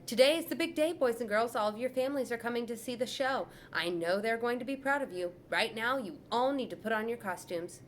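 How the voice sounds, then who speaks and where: A woman voicing a kind female teacher who speaks brightly and reassuringly. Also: on the high side, a little fast, hardly any pauses, a little hiss or hum, voice pushed up, lightly acted. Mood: excited. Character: kind caring female schoolteacher.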